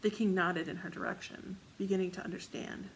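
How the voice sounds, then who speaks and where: A woman narrates a piece of fiction from a script in a slightly low voice. Fry runs through her delivery, and there is faint noise behind her. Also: level tone, fairly quick, poor audio.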